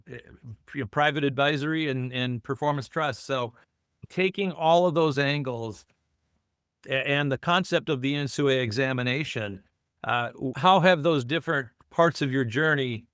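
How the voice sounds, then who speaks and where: A man's voice: medium pitch, moderate pace, fairly bright tone, clean tone, dry recording, studio quality. A man in his sixties hosting an interview, talking in a conversational way with frequent restarts. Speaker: podcast host.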